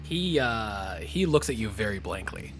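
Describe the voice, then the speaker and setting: A man's voice: lowish voice, sing-song, a little slow, fairly bright tone, a little hiss or hum. A man running a tabletop game as game master, casually narrating scenes and calling for rolls.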